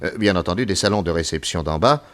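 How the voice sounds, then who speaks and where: A man acting a shrewd, courteous detective with a measured, methodical manner in a French radio serial. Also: low voice, close to an everyday voice. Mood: methodical, businesslike. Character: shrewd detective, posing as a gallant Russian diplomat.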